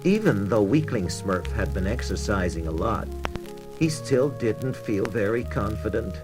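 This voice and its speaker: A man's voice: fairly low pitch, expressive, unhurried. A man reading scripted narration for a children's read-along storybook record. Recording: children's record with music behind. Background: music.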